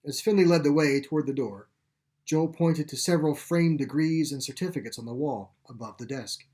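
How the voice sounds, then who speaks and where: A man narrating his own short story in a deliberate, literary storytelling manner. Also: a little high, darkish tone.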